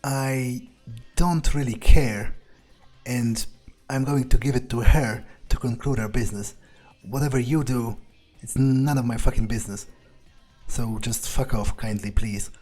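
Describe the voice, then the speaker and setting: A man's voice: medium pitch, measured pace, a little mellow, faint music behind. A man doing in-character game playthrough commentary, thinking aloud hesitantly and swearing bluntly. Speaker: gaming video creator.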